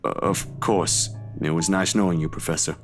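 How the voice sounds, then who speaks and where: A man's voice: sits low, fairly even intonation, normal speed, voice pushed down, lightly acted. Man playing a hesitant young man over music. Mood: hesitant, polite. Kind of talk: visual novel character reading.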